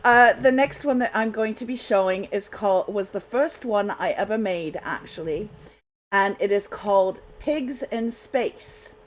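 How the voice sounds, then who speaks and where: A woman's voice: medium pitch, unhurried. Scattered, apologetic woman hosting a radio show. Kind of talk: casual unscripted show chat.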